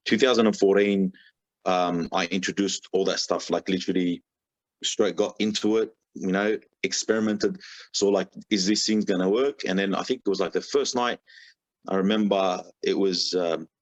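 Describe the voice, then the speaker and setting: A man's voice: low-pitched, moderate pace, no echo. A man speaking as a podcast guest in a casual, rambling way, filling his talk with "you know" and "sort of" and restarting his sentences often. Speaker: podcast guest, religious reciter. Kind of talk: casual conversational interview. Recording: dull, lo-fi, dry podcast audio.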